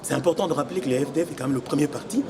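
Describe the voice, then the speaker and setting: A young man from Congo who lives in Belgium, giving deliberate, explanatory interview answers as an election candidate. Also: fairly high pitch, crowd noise. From Congo, living in Belgium since 1984. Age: young adult. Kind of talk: semi-formal interview answers. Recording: noisy location with background ambience.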